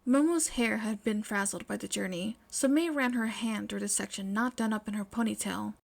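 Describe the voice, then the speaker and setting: A woman's voice: medium pitch, moderate pace, gravelly. A woman reading scripted fiction aloud as the narrator of an amateur audio story. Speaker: podfic narrator.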